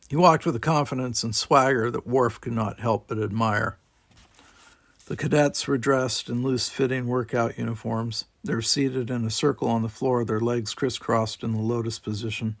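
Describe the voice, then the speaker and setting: A man's voice: mid-range voice, no echo. A man reading a story aloud as scripted audiobook narration. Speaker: audiobook reader.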